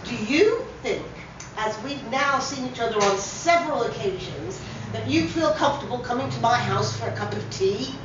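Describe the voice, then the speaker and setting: Elderly male suitor played by a woman, proud and emphatic, lively pitch swings, storybook reading in a very echoey room. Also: moderate pace, noisy background, lightly acted. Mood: courting. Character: elderly widower, thrifty, proud, eager suitor. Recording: very echoey room, distant mic, slightly dull.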